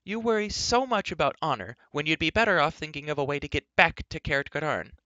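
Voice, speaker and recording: A man voicing an outspoken, bossy young girl in a fantasy storybook, talkative and quick to scold. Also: fairly high pitch, animated, pushed and bright, no room sound, muffled recording, voice pushed up.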